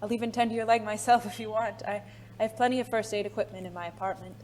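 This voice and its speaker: A woman playing a refined, secretive former spy in an audio drama, speaking formally and haltingly, with endearments. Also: medium pitch, steady tone, darkish tone, slight background noise, close to an everyday voice. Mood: tender, anxious.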